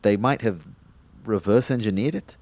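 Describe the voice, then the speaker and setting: A man's voice: fairly low pitch, normal speed, a little mellow, no room sound, natural voice. A man playing an indignant, suspicious young man in an audio drama, insistent and questioning.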